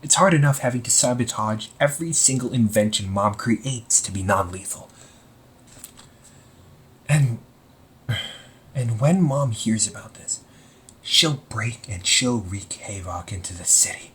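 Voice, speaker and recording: A man playing a young supervillain in an amateur audio roleplay, husky and breathy, speaking earnestly and haltingly to the listener. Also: fairly low pitch, lively intonation, fairly bright tone, full character voice. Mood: pleading, worried. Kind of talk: amateur audio roleplay, intimate one-sided dialogue to listener.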